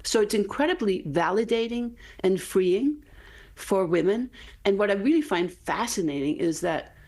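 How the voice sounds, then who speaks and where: A woman's voice: mid-range voice, lively intonation, moderate pace, darkish tone. A woman in her fifties with a Danish accent, speaking earnestly as an author guest in a TV interview, often tagging her points with "right?". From Denmark. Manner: earnest, emphatic, frequent "right?" tags.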